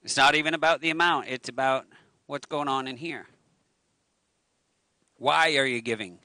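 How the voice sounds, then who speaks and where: Man, middle-aged pastor, bright forceful voice, dry sound, handheld mic outdoors, joking conversational preaching. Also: medium pitch, normal speed, no room sound. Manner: joking, conversational, with self-mocking asides. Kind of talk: casual sermon. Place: outdoors by a waterfront on a lawn. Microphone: handheld microphone at a lectern.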